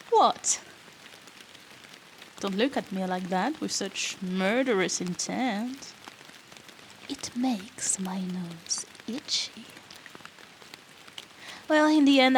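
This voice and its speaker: A woman playing a bratty, foul-mouthed female rogue in a fantasy audio roleplay, teasing the listener with cheeky, sarcastic banter. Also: a little high. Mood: mocking, smug. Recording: rain noise behind the voice.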